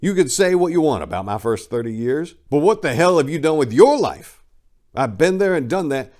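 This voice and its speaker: A man's voice: on the high side, sing-song, moderate pace, darkish tone. A man reading a memoir aloud as a dramatic book reading, with an emphatic, declamatory delivery. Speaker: reader of a memoir.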